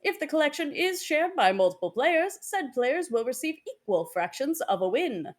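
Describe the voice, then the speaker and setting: A woman's voice: high-pitched, big pitch swings, moderate pace. A woman playing an official announcer reading out game rules in a formal, emphatic, carefully enunciated manner. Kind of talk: scripted table read, formal announcement.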